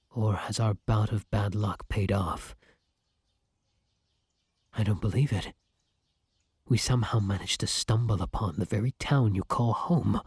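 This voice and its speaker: Man acting a husky, courtly gentleman vampire. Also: on the low side, no room sound, clean studio sound. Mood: delighted wonder. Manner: formal, flowery, affectionate speech. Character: courtly, devoted gentleman vampire, male, tender and old-fashioned. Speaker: roleplay voice actor. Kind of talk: ASMR roleplay acting addressed to the listener.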